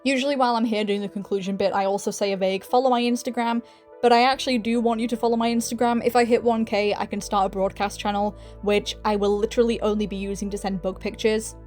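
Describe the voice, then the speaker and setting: A woman's voice: mid-range voice, flat delivery, fast, darkish tone. Chatty woman narrating over music. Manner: informal, self-deprecating, chatty. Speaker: video essayist, channel host. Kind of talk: scripted video essay narration with casual asides.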